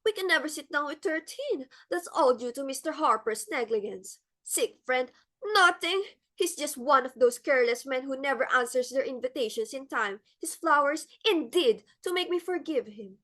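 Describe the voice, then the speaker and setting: A woman's voice: high voice, lively intonation, moderate pace, fairly bright tone. A woman playing a fussy, determined society hostess and mother in a dramatic, exclamatory stage play reading. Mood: indignant, flustered.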